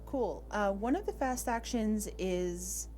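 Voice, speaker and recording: A woman's voice: mid-range voice, slow, dark tone. A woman acting as game master, casually explaining rules and turns in a tabletop roleplaying game.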